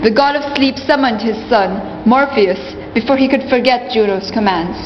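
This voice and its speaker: A woman's voice: on the high side, moderate pace, background noise, close to an everyday voice. A woman narrating a myth in a scripted storybook style, as the narrator of a radio play. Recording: muffled, echoing, music behind.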